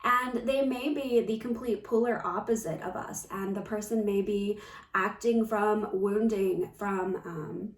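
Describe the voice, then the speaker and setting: Slow-spoken woman in an echoey room. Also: medium pitch, steady tone. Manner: measured, reflective, with pauses. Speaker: spiritual coach. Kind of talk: unscripted spiritual talk to viewers. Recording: roomy echo, distant microphone.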